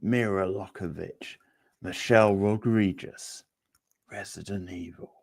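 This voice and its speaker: Male quiz host, low register, unhurried pace, informal live delivery. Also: fairly even intonation, vocal fry, a little mellow. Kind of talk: casual live quiz hosting.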